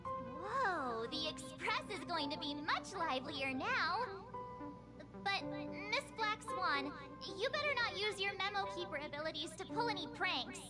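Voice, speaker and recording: A woman playing a bubbly, excitable teenage girl traveler in a video game. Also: very high voice, measured pace, over loud music, full character voice. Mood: excited, playful. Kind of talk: video game character acting.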